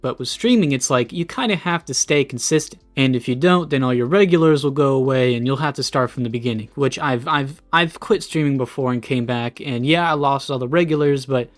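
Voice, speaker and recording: A man's voice: mid-range voice, brisk, few pauses, soft background music. A man in his late teens giving a casual, candid, self-deprecating monologue as a streamer, swearing freely and sounding discouraged. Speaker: streamer and video creator.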